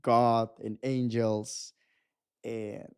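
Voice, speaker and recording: A man's voice: medium pitch, flat delivery, very slow, smooth. A man in his twenties with a Mexican accent, a podcast guest chatting casually and animatedly, often restarting his sentences. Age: 20s. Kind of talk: casual chat.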